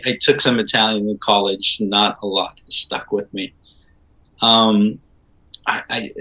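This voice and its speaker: Middle-aged guy from israel, interview guest, bright punchy voice, animated rambling stories. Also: on the low side, low-quality recording. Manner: animated storytelling with laughs and fillers. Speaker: composer guest. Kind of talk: casual interview chat.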